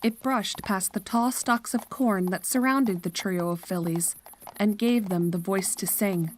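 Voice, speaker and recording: Casting: female narrator for scary fiction, medium pitch, scripted storytelling, slight noise behind the voice. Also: unhurried, poor audio.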